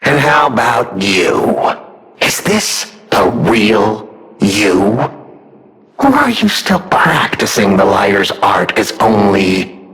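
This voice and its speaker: A man playing a sadistic killer puppet, the self-styled king of riddles, in a video game, with a gravelly voice and a mocking, gleefully dramatic delivery. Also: lowish voice, very animated, takes it slowly, a bit bright, heavily acted, rough recording. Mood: mocking welcome. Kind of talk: theatrical video game villain monologue.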